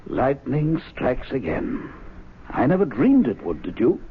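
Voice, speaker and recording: An actor playing a fussy, credulous gentleman in a radio drama, earnest and hesitant in manner. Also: mid-range voice, huge pitch swings, unhurried, slightly rough, exaggerated voice. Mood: hesitant, curious. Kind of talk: 1970s radio drama acting. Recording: tinny, narrow old radio broadcast.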